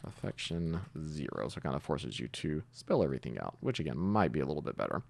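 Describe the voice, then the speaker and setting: A man giving a casual screencast coding tutorial, talking haltingly as he thinks aloud while typing. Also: extremely low pitch, big pitch swings, vocal fry, a little mellow. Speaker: programming tutorial host.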